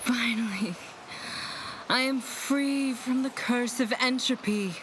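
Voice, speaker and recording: A woman playing a sorrowful ancient guardian dragon under a curse in a fantasy game, halting and solemn, with noise behind her voice. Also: on the high side. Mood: weary relief. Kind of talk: fantasy game dialogue acting.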